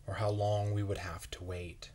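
A man in his thirties reading a children's picture book aloud in a measured, wondering way with pauses. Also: lowish voice, flat delivery, mellow, a little hiss or hum. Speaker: children's story reader. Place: small bright room at home, indoors.

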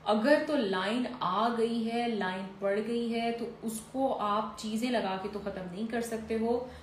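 A woman's voice: a little high, takes it slowly. A woman doctor in her forties sits in a room and talks viewers through skincare advice in an easy, advising manner, with frequent "uh" fillers. The room sounds echoey, with a faint hiss behind her voice. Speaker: dermatologist answering viewer questions. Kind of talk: live-stream Q&A, reading comments aloud. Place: indoor room, seated in a fur-draped chair. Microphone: phone or camera on a stand, mid framing.

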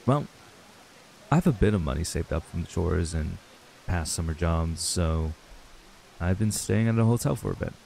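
Young male played by a man for ASMR roleplay: stressed runaway, earnest and halting, dark mellow tone, gravelly edge. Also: deep voice, lively intonation, a little hiss or hum, rough recording. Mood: weary, hesitant. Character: stressed young man, frustrated runaway reuniting with childhood friend. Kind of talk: intimate ASMR audio roleplay.

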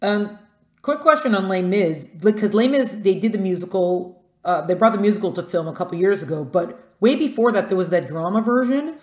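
A woman's voice: mid-range voice, animated, fairly quick, darkish tone. A woman hosting a podcast, asking questions in a casual, conversational style, recorded with a roomy echo. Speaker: podcast host interviewer. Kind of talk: casual interview chat. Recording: roomy, echoing sound.

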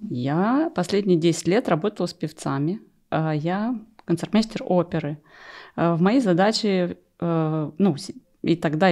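A woman's voice: on the low side, a little mellow. A middle-aged woman from Russia telling her story as a podcast guest, wry and self-deprecating, stopping to correct herself, close on a studio mic. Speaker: interview guest, opera rehearsal pianist. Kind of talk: conversational podcast interview, personal storytelling. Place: small furnished podcast studio. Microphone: close studio microphone on a stand.

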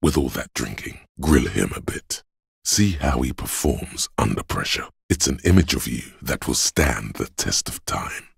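An actor voicing a stern, gruff, goading inner voice of bodily endurance in a video game, with a gravelly, husky bass and a weighty, deliberate delivery. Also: male voice, very animated, no echo, clean studio sound, exaggerated voice. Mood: grave, measured. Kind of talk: dramatic video game narration.

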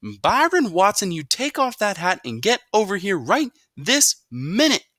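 A man's voice: fairly high pitch, very animated, moderate pace, sharp tone, dry recording, clean studio sound, pitched above the performer's own voice. A man voicing a stern, exasperated mother scolding her son in a storybook reading.

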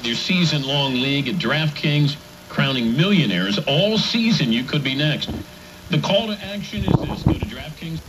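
A man's voice: on the high side, lively intonation, normal speed, a bit bright, low-quality recording. A man announcing on a broadcast, heard through an old radio speaker. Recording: radio playback with music behind.

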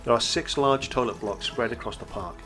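Guy reading a campsite review voiceover, steady and even, music low underneath. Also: fairly quick. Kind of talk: scripted voice-over narration.

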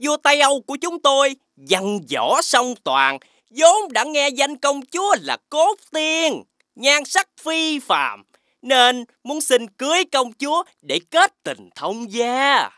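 A haughty cartoon envoy, high and sharp, swooping through ceremonious phrases. The manner is pompous and insinuating, with a threat underneath. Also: female voice, sing-song, slow pace, no echo, studio quality, heavily acted. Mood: smug, flattering. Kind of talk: animated fairy tale acting.

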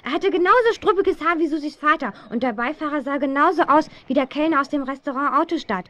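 A woman's voice: high voice, fast, pushed and bright, no echo. A woman playing a plucky, headstrong teenage girl detective in a children's radio play, eager and insistent. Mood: excited, determined. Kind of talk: 1970s German children's radio play acting. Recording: dull, muffled old audio drama recording.

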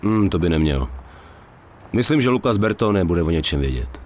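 A man's voice: sits low, big pitch swings, darkish tone, a little hiss or hum. A man voicing a cool, laconic mobster in a Czech video game dub. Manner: terse, matter-of-fact.

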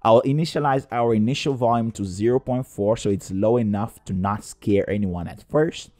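A man's voice: lowish voice, normal speed, warm tone, no room sound. A man narrating a scripted step-by-step coding tutorial in a methodical, explanatory way. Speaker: tutorial presenter.

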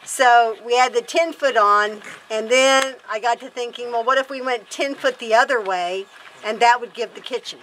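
A woman's voice: fairly high pitch, normal speed, fairly bright tone, slight background noise, poor audio. A woman in her fifties chatting casually to camera outdoors on a wooden deck, rambling and correcting herself as she talks. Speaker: homesteader vlog host.

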